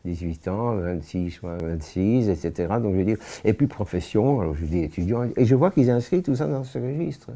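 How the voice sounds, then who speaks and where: An elderly man speaks in a low, very dark and mellow voice. He recounts slowly and gravely, often pausing and restarting his sentences as he searches for words. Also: animated. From born in France. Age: 80s. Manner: halting, with frequent restarts and pauses. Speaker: witness giving testimony. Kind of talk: spoken personal testimony.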